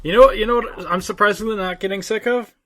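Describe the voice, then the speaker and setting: A man's voice: high-pitched, fairly even intonation, quick pace, sharp tone. A young man co-hosting a podcast in casual, joking conversation. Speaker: podcast co-host.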